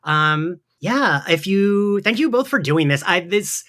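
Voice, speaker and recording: A man's voice: sits high, lively intonation, unhurried, bright, forceful tone. A man hosting a podcast in casual chat, informal and sweary, prone to giggling. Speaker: podcast host.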